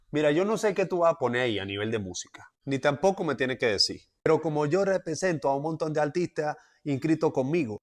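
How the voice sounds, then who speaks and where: Man explaining music rights step by step. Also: fairly high pitch. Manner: clear, methodical explaining with quick asides. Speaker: music industry educator. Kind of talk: explainer video lesson.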